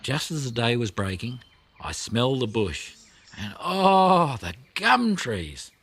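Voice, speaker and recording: A man's voice: medium pitch, sing-song, takes it slowly, a bit bright, husky, full character voice. A man voicing a chatty, motherly female koala who tells of her plight in a dramatic, plaintive way for a children's audiobook. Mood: reassuring, relieved. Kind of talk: children's audiobook character reading.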